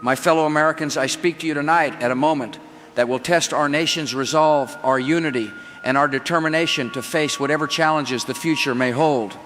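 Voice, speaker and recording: A man's voice: a little high, fairly even intonation, a bit bright, faint music behind, lightly acted. A man playing a resolute president giving a formal, oratorical address to the nation. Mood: resolute, solemn. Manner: oratorical, deliberate repetition. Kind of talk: formal televised political address.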